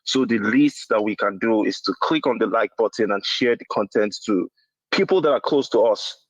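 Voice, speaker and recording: A man's voice: lowish voice, normal speed, dry recording. Nigerian man calling in, dull dry line. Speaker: caller to a political show. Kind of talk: casual phone-in commentary. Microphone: phone call. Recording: dull, dry phone-call sound.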